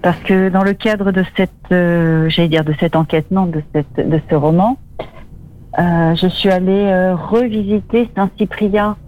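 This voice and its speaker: A woman's voice: on the low side, fairly even intonation, measured pace. A woman from the Dordogne speaking as a guest author in a phone interview, hesitant with many fillers and restarts. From Dordogne, France. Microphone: telephone. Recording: muffled phone line.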